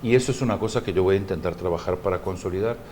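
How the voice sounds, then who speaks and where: A man's voice: deep voice, a little mellow, a little hiss or hum. A man from Brazil, a native Portuguese speaker with a Brazilian accent, speaking frank, careful Spanish as an interview guest. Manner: frank and outspoken, measured second-language Spanish.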